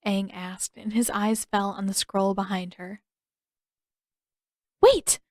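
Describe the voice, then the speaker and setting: A woman's voice: medium pitch, level tone, unhurried, no echo, studio quality. A woman reading scripted story narration as an audiobook narrator.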